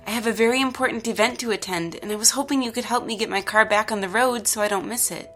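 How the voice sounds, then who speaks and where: Stranded traveller, female, acted: bright voice, sceptical, hesitant, impatient, natural audio drama delivery. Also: fairly high pitch, fast, faint music behind, close to an everyday voice. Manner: hesitant, doubtful.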